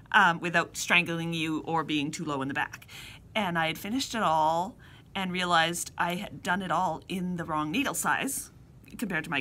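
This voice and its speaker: A woman's voice: on the low side, sharp tone, slight background noise. A woman from Eastern Canada who grew up speaking French, co-hosting a knitting podcast and explaining her projects in a matter-of-fact way. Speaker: podcast co-host. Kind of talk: casual chat.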